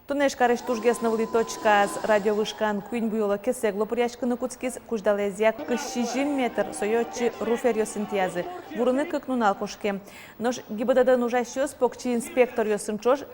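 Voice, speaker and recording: A woman reading the regional news as an anchor in a steady, scripted delivery. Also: fairly high pitch. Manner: steady, even newsreader delivery. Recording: long reverb, faint noise.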